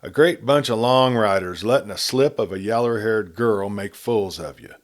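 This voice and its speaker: A man's voice: fairly low pitch, moderate pace, natural voice. Man voicing a taunting outlaw chief. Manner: taunting, commanding, rough frontier talk. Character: sarcastic, menacing male outlaw gang chief. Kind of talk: storybook reading.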